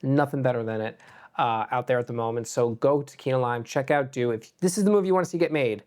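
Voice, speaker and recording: A man co-hosting a relaxed panel discussion. He speaks at an average pitch and rambles loosely, with filler words scattered all through his talk. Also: fast talker. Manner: loose and chatty, filler "like" everywhere. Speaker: co-host. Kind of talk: casual panel chat.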